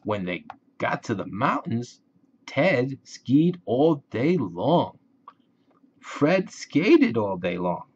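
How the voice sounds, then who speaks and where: Slow, animated man reading to children. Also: mid-range voice, breathy edge. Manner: deliberate, simple phrasing for young listeners. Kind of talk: children's picture book read-aloud. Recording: dull lo-fi audio.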